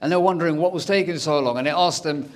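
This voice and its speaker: A young man doing stand-up, telling stories in a lively way and acting out the people in them. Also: fairly high pitch, rapid-fire. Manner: animated, acts out every character's lines. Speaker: stand-up comedian. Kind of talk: stand-up storytelling. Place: comedy stage.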